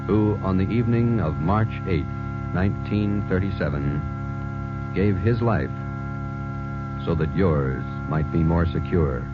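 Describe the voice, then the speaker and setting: Deep, solemn radio announcer man. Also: lively intonation. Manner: solemn and measured. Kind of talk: formal broadcast announcing. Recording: dull old radio broadcast over loud music.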